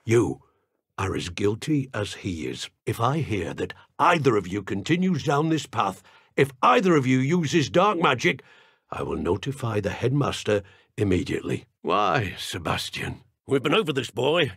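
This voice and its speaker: A gravelly, husky older British wizard from a video game. He is a strict guardian uncle with a short temper, curt and scolding, and his pitch moves widely as he talks. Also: sing-song, normal speed, a bit bright, no echo, studio quality, exaggerated voice. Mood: grim reproach.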